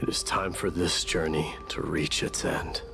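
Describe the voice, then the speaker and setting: A deep, creaky-voiced exiled warrior prince in a game monologue. He is slow, grave and resolute, turning his thoughts inward over soft music. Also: steady tone. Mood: grim resolve. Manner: grave, measured, introspective. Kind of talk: dramatic video game monologue.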